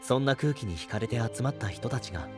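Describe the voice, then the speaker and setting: A male actor playing a gentle, responsible young knight in an audio drama, soft-spoken and earnest. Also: mid-range voice, expressive, fast talker, over music, natural voice. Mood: calm, reflective. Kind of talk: Japanese anime-style audio drama acting.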